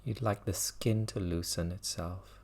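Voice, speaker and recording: A man leading a guided mindfulness meditation as a teacher, speaking gently with long pauses between phrases. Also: sits low, steady tone, creaky.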